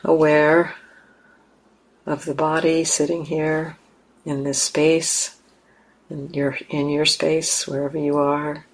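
A woman leading a guided meditation, speaking calmly and gently with long, unhurried pauses. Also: deep voice, rough recording. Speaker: meditation teacher.

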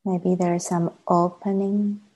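A woman, a native Chinese speaker, leading a guided meditation as a teacher, gently and with long unhurried pauses. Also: lowish voice, flat delivery, low-quality recording.

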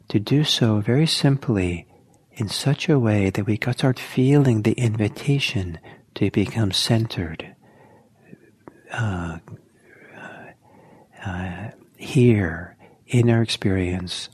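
A man teaching meditation in a soft, slow, halting way, with many pauses. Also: on the low side, breathy edge. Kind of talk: guided meditation talk.

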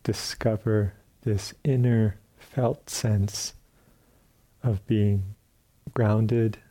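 Slow, dark-voiced man guiding meditation. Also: on the low side, fairly even intonation. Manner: unhurried, gentle phrasing with long pauses. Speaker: meditation teacher. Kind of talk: guided meditation instruction. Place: retreat center meditation hall.

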